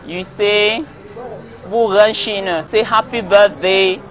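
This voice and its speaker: A woman's voice: mid-range voice, takes it slowly. A woman from northwest Cameroon with a Cameroonian accent, giving a street interview greeting to camera. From Northwest Region, Cameroon. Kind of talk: vox pop greeting to camera. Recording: dull lo-fi street audio, faint music.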